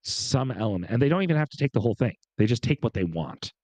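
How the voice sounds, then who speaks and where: Excitable man with very lively pitch. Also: fast talker, dry recording. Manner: excitable, emphatic, repeats himself. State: congested, coming off a cold. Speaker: podcast co-host. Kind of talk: animated casual chat about pop culture.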